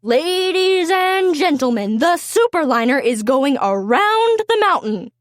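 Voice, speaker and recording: Woman as a showy, high-pitched race announcer. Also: very animated, unhurried, no echo, clean studio sound. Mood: grand, excited. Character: showy male race announcer calling a train race.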